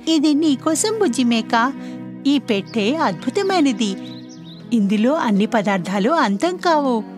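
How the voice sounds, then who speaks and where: A woman voicing a kind-hearted young girl in a children's cartoon story, earnest and expressive. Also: fairly high pitch, unhurried, music in the background. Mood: tender.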